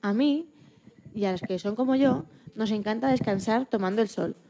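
Mellow, gentle small animal voiced by a woman. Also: on the high side, normal speed, slight background noise, close to an everyday voice. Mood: gentle, reflective. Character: small delicate female rescued animal, gentle storyteller. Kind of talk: first-person scripted animal monologue.

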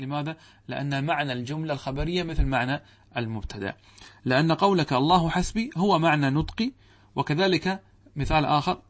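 A man teaching Arabic grammar to students, posing questions to the class and answering them himself, reciting quoted text. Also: a little high. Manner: addresses students directly, checks understanding.

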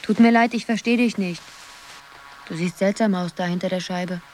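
A woman's voice: medium pitch, steady tone, moderate pace, background noise, natural voice. An actress playing a dreamy young woman absorbed in a computer game, musing half to herself in a radio drama. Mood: puzzled.

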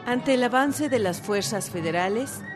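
A woman giving formal, measured scripted narration for a historical radio drama. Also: on the high side, lively intonation. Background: music.

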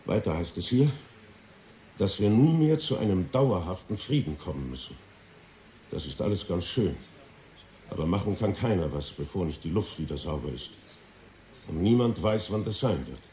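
Weary, blunt statesman played by a male actor for radio drama; low, mellow, set in an echoey room with faint hiss. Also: natural voice. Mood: weary, resigned. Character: weary, blunt American foreign minister briefing the press. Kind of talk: 1980s German radio drama acting. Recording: roomy echo with faint hiss.

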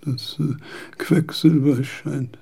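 An actor playing a very old, failing philosopher in a radio drama, with a weak husky voice and halting, broken phrases that repeat words. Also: male voice, medium pitch, fairly even intonation, mellow, exaggerated voice. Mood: halting, absorbed. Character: frail, very old male philosopher, failing and rambling.

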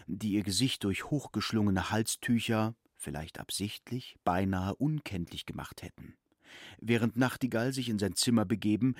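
A man giving measured, formal literary narration in a radio play. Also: lowish voice, no echo, clean studio sound, lightly acted.

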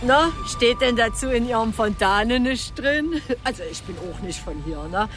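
Chatty female café server played by an actress, polite and breezy, lively melodic delivery for radio drama. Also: a little high, normal speed, over music, close to an everyday voice. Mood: obliging, breezy. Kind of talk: naturalistic radio drama dialogue.

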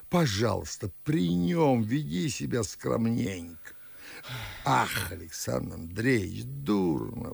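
An actor playing a fussy, fawning elderly Moscow gentleman with a gravelly, husky voice in a classic radio play. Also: medium pitch, no echo, exaggerated voice. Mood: lecturing. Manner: fawning, fussy, chuckling host.

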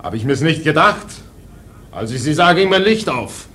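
Suspicious, slightly high-voiced sailor. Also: male voice, sing-song, moderate pace, pushed and bright. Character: gruff, suspicious sailor muttering about his captain. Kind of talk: radio drama acting.

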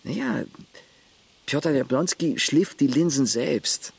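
A blunt, husky-voiced villager. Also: male voice, on the high side, expressive, takes it slowly, dry recording, full character voice. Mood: storytelling. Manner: terse, matter-of-fact, lists points. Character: Jo, village man caring for grandfather, blunt. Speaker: character in a radio play.

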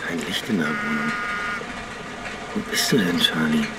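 An actor playing a wry detective making inquiries and muttering to himself in a German radio drama. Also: male voice, rumbling low, moderate pace. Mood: pensive. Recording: distant voice in loud ambient noise.

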